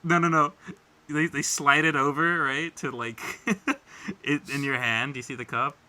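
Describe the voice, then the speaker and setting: Bright, sharp-voiced man bantering casually. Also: fairly high pitch, measured pace. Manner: crude, profane, playful riffing. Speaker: podcast co-host.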